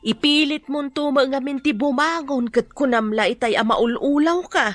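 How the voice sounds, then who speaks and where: A woman's voice: high-pitched, normal speed, fairly bright tone, no echo, soft background music. A woman playing a practical, nagging storekeeper's wife in an Ilocano radio comedy drama. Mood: practical, reassuring.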